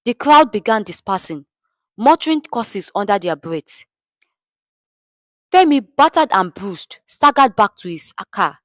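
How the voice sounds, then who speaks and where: A woman's voice: mid-range voice, a bit bright, dry recording. A woman with a Nigerian accent narrating a scripted story in a dramatic storytelling style.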